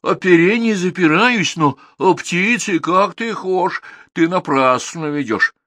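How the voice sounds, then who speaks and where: A man's voice: high-pitched, slow, bright, forceful tone, no echo, pitched above the performer's own voice, exaggerated voice. A man voicing a young peasant lad who pleads and protests before a tsar. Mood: pleading. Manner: pleading, whining.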